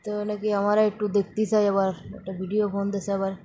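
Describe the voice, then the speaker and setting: A woman's voice: mid-range voice, little pitch movement, a little fast, mellow, slight background noise. A young woman chats casually and bluntly, with a teasing manner, on a phone call recording. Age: young adult.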